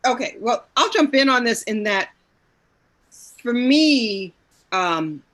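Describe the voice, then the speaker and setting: Female actor, casual discussion; slow, pause-filled delivery, working out thoughts aloud. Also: fairly high pitch, animated, fairly bright tone. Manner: halting, thinking aloud with frequent pauses. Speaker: actor in an audio play cast. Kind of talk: casual panel chat.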